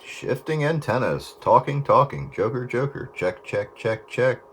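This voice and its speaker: A man's voice: mid-range voice, animated, a little slow, husky. A man giving short, casual calls as an operator over two-way radio. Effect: two-way radio transmission. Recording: faint radio voice under loud static.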